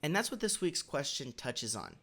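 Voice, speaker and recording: A man with a hoarse voice, recovering from a cold, giving a casual, measured developer lesson as the host of a weekly question. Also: a little high, huge pitch swings, pushed and bright. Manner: measured, slightly halting, with occasional throat clearing. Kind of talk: casual instructional talk.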